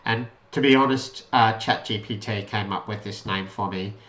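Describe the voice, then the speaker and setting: A man's voice: lowish voice, normal speed, a bit bright. Man explaining code in an echoey room. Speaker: presenter of an electronics project tutorial. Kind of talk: informal code walkthrough, unscripted. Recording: roomy, echoey voice pickup.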